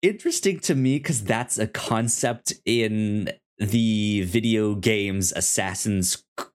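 Male podcast co-host, lower-pitched, unhurried and halting, casual recap chat.

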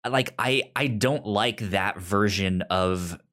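A man's voice: lowish voice, slow pace, a bit bright. A man co-hosting a podcast, chatting casually about a TV series, often stammering and restarting his words. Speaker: podcast co-host. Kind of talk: casual TV recap chat.

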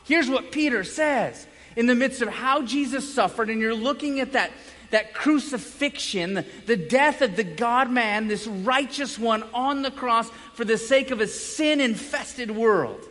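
A man preaching a sermon as a pastor, speaking emphatically with dramatic pauses and swings between loud and hushed delivery. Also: extremely high pitch. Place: large indoor room, preaching to a congregation. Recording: echoey hall with long reverb.

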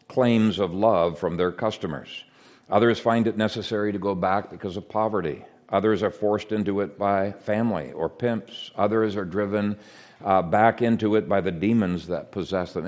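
A man who grew up in Ethiopia, giving an earnest, measured sermon with frequent hesitations. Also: deep voice, darkish tone. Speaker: preacher.

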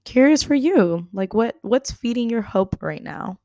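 A woman's voice: on the high side, expressive, measured pace, mellow, dry recording, clean studio sound. A woman hosting a casual interview, asking reflective questions in an earnest way with many fillers. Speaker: host.

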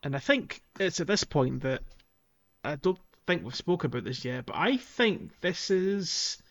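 A man's voice: a little high, big pitch swings, measured pace, fairly bright tone, muffled recording. A man co-hosting a gaming podcast, chatting casually and explaining things in an informal, hesitant way. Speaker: podcast co-host.

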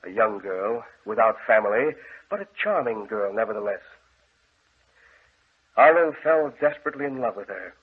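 A solemn, commanding gentleman with a husky, fairly low voice. He speaks formally, in the manner of vintage radio horror, on a tinny old recording. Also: expressive, moderate pace, pauses often. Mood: solemn, sombre. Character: grave, controlling gentleman guarding his deranged brother. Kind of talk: 1940s radio horror acting.

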